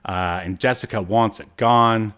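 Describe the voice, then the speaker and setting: Low-pitched man, casual podcast co-host. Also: unhurried, fairly bright tone, smooth. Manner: rambling, jokey asides. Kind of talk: casual chat, recapping a TV episode.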